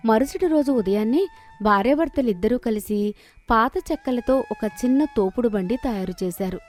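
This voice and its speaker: A woman's voice: mid-range voice, darkish tone. A woman storyteller narrating a scripted moral story.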